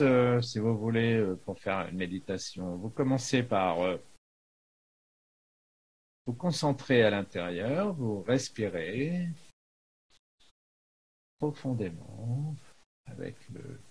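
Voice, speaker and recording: Male spiritual guide, medium pitch, husky tone, unhurried and halting, improvised teaching. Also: animated. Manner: halting, frequent pauses mid-phrase. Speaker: spiritual teacher, video maker. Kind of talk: unscripted spiritual talk with guided meditation.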